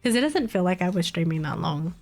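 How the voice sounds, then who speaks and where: A woman's voice: fairly low pitch, very animated, fairly quick, darkish tone, soft background music. Chatty streamer woman with a cold. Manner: rambling, laughs often, swears casually. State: recovering from a cold, slightly nasal. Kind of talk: casual late-night stream chat.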